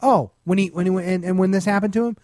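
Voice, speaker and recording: A man chatting casually as a talk show host, telling anecdotes in an animated way and acting out the dialogue. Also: high-pitched, fast, dry recording, clean studio sound. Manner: animated retelling with acted-out dialogue. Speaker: talk show host and stand-up comedy club insider. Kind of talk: casual storytelling chat.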